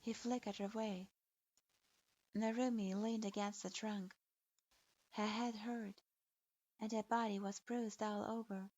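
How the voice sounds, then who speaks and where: A woman reading a dark fanfiction story aloud as its narrator, in a steady, even, scripted manner. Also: mid-range voice, a little slow, pauses often. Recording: dull dry lo-fi recording.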